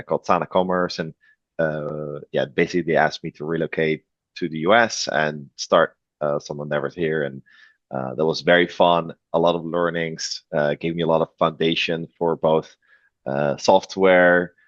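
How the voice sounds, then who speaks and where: Man with a Dutch accent, very low voice, dull lo-fi dry sound, loose talk with fillers. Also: dry recording. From Netherlands. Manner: relaxed, many fillers and restarts. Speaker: guest, revenue executive. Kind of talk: podcast interview.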